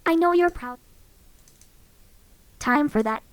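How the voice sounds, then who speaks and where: A woman voicing a calm, curious female robot companion with a clipped, even delivery. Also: high voice, slow pace, slight background noise.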